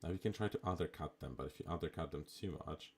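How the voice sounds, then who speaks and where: A man with an extremely low, raspy voice talks through a game on a live stream. He keeps it relaxed and chatty, thinking aloud with plenty of casual asides into a desktop mic. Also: quick pace. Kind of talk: casual live gameplay commentary.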